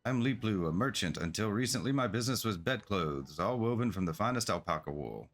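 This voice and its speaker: A man's voice: lowish voice, expressive, brisk. A man reading the part of an eager, polite merchant in a game, with effusive, formal sales patter. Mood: happy, apologetic. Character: eager, polite merchant founder of a travel agency. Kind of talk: game quest dialogue read aloud. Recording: music behind the voice.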